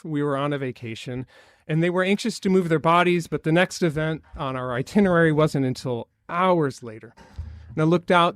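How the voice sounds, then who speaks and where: A man's voice: a little high, no room sound. Male minister, conversational sermon, light wry humor, dry pickup. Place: church sanctuary.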